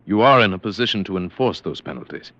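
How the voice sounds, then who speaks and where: An actor playing a haughty, self-possessed aristocrat with clipped, formal, commanding speech in a vintage radio drama. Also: male voice, low-pitched, lively intonation, quick pace, sharp tone, dry recording. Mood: cool, insolent. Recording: dull, lo-fi vintage radio recording.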